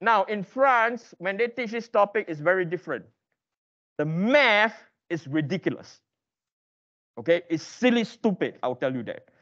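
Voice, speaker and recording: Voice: medium pitch, animated, normal speed, a bit bright, lo-fi sound. An engineering lecturer teaching interactively in a classroom, quizzing students with emphatic, repeated questions and prodding them for answers.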